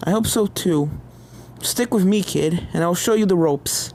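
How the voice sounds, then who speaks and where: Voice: mid-range voice, measured pace, darkish tone, slight background noise, natural voice. A woman voicing a fond, tomboyish mother pegasus of forty in a story, casual and slangy.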